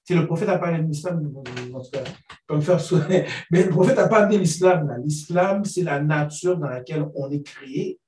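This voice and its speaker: A man's voice: fairly high pitch, fairly quick, darkish tone, a little hiss or hum. A man, speaking thoughtfully in a group discussion and searching for his words. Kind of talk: earnest discussion. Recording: roomy, distant from the mic.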